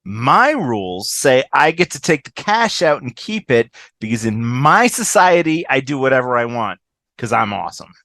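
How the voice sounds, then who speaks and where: A man's voice: medium pitch, very animated, moderate pace, pushed and bright, no room sound. A man in an amateur table read over a video call, playing a brash, selfish, sarcastic woman with snarky emphasis. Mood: smug.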